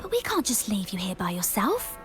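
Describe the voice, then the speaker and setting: A woman's voice: medium pitch, wildly swooping pitch, brisk, soft background music. A woman playing a friendly, chatty female crewmate in a video game. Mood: concerned.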